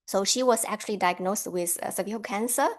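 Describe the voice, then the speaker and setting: A woman's voice: medium pitch, fairly quick. Woman giving an online lecture. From China. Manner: friendly, with frequent light laughs. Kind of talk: academic lecture over video call.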